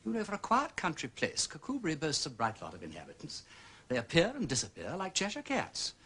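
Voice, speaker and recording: An actor playing a jaunty, quick-witted gentleman sleuth with an upper-class English accent and breezy, theatrical phrasing in a period detective drama. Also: fairly high pitch, wildly swooping pitch, breathy edge, exaggerated voice. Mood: wry, musing.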